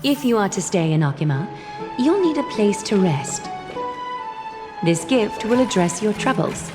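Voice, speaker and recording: A woman's voice: medium pitch, sing-song, unhurried, over music. An actress voicing a poised, gracious noblewoman in a video game, speaking formally and courteously. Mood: composed, gracious. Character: poised, gracious noblewoman leading a holy city.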